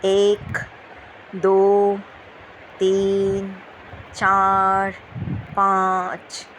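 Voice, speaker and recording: A woman guides a meditation at a very unhurried pace. Her pitch sits in the middle, and she repeats gentle instructions with long pauses. Faint background noise runs under the voice. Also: monotone, rough recording.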